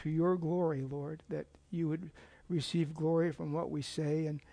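An older man with a hoarse voice, as he is losing it, giving an informal sermon after an opening prayer, rambling and self-deprecating, with many fillers and restarts. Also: a little high, level tone, moderate pace, warm tone. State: losing his voice. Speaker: lay preacher.

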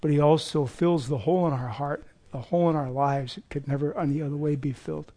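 An older man with a hoarse voice, as he is losing it, giving an informal sermon after an opening prayer, rambling and self-deprecating, with many fillers and restarts. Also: fairly high pitch, fairly even intonation, fast, mellow. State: losing his voice. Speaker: lay preacher.

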